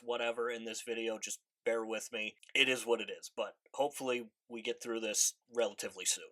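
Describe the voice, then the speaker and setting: A man's voice: mid-range voice, fairly bright tone. A man with a congested voice from allergies, talking through his predictions in a casual solo video, often repeating himself as he thinks aloud. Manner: speculative, conversational, repeats "I think" and "again". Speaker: wrestling commentary YouTuber.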